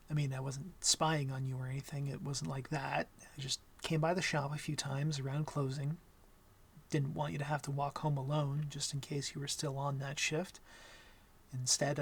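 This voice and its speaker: A young man playing an awkward, gentle vampire in an intimate ASMR roleplay, talking softly and hesitantly with a wry streak. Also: fairly high pitch, breathy edge. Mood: protective, sheepish. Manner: hesitant, soft-spoken, wry.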